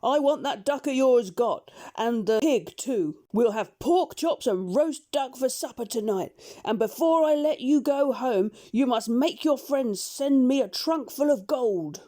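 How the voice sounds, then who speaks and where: A woman voicing a boastful male pirate captain who taunts loudly. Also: high voice, animated, measured pace, voice pushed up. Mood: gloating. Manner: gloating, loud, taunting.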